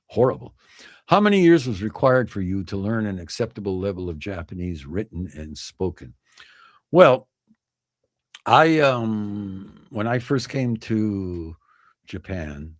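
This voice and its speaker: A man's voice: sits low, no echo, clean studio sound. An older man, over sixty, hosting a livestream, chatting casually and reflectively, with rambling recollections and self-corrections. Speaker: livestream host.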